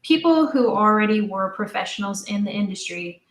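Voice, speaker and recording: A woman's voice: medium pitch, expressive, moderate pace, roomy sound. A woman speaking informally as an interview guest, thinking aloud as she explains her creative work in a chatty, conversational way. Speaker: audio drama writer and director, interview guest.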